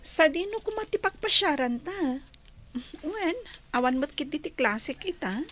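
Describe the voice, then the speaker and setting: A woman's voice: sits high, lively intonation, a little slow, a bit bright. A woman playing a friendly young nursing intern in a radio drama. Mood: cheerful, friendly.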